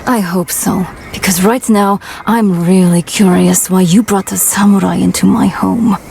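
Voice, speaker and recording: A woman's voice: mid-range voice, fairly even intonation, unhurried, darkish tone. A woman acting as a tough, suspicious raider chief in a video game, brash, sardonic and authoritative. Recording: clear ambient noise behind the voice.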